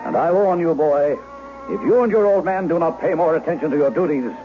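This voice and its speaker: A man's voice: on the high side, a little fast, soft background music, natural voice. An actor playing a jealous, imperious nobleman in an old-time radio drama, stern, sardonic and haughty. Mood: imperious, angry. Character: jealous, imperious nobleman, cold and commanding husband. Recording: muffled, noisy old radio recording.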